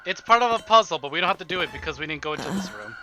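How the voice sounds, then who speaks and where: A man's voice: high-pitched, expressive, very fast, sharp tone, faint music behind. A man livestreaming a game with casual commentary and wry, joking asides.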